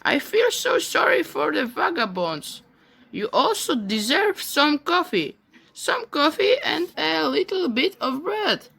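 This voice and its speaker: Schoolgirl doing a creaky little old lady, plaintive, kind but stubborn, super high and swoopy, radio play. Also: very animated, slow pace, fairly bright tone, heavily acted, poor audio. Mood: sorrowful. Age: child or teenager.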